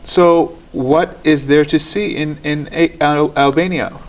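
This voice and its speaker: A man hosting a studio interview show, asking questions in a measured, formal way. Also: fairly high pitch, fairly even intonation. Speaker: talk show host.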